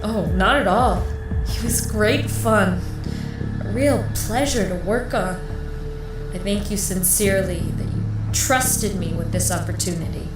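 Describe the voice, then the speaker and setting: An actress playing a gleefully sadistic female torturer in an audio drama, mockingly sweet and playful, with drawn-out, gloating delivery. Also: mid-range voice, close to an everyday voice. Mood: gleefully coy. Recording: echoey, music underneath. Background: music.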